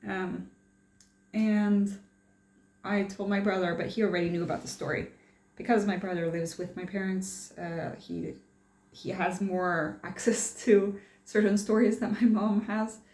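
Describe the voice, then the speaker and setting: A woman's voice: mid-range voice, fairly even intonation, unhurried, a little mellow, roomy sound. A young woman from France chatting casually in a personal vlog, rambling and hesitant with many fillers and restarts. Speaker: vlogger.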